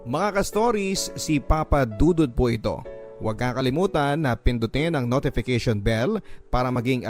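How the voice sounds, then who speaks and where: A man's voice: mid-range voice, a little mellow. A Filipino man reading listener letters as a radio host, in an earnest, sentimental, dramatic storytelling style. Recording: music behind the voice. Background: background music.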